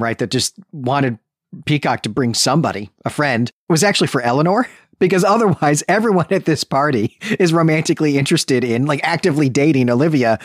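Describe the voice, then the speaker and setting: A man's voice: medium pitch, no room sound, studio quality. Informal, musing man on a book podcast. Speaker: podcast co-host. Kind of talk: conversational book discussion.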